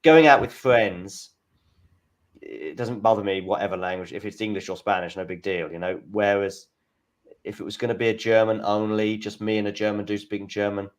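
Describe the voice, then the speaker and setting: A man hosting a casual tennis watchalong chat, talking in halting starts and restarts and reading out scores. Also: lowish voice, fairly even intonation. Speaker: host.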